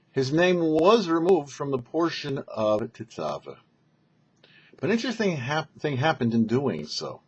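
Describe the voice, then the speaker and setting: A man delivering a scripted religious teaching talk as a rabbi and show host, with emphatic, deliberate phrasing and dramatic pauses. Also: medium pitch, slightly rough, lo-fi sound. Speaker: rabbi and host of a weekly Torah portion show.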